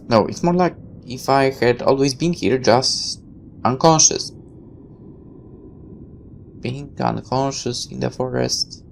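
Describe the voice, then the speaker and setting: A man reading a visual novel aloud as a let's player, in expressive narration broken up by casual asides. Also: mid-range voice, creaky, slight background noise.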